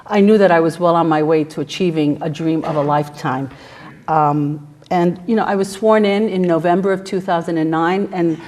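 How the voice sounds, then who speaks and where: Measured, lower-pitched woman giving a formal talk. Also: darkish tone. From New York City. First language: Spanish. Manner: measured, formal, frequent "uh" fillers. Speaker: guest speaker, federal prosecutor. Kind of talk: prepared speech to a campus audience.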